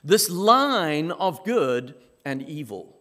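A man from South Africa with a South African accent, preaching a sermon as pastor in measured, emphatic phrases with dramatic pauses. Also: a little high, sing-song, sharp tone. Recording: long reverb of a large hall.

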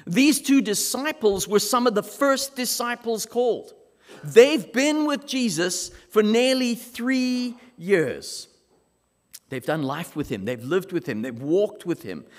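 A man from South Africa with a South African accent, preaching a sermon as pastor in measured, emphatic phrases with dramatic pauses. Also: high voice, lively intonation. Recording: long reverb of a large hall.

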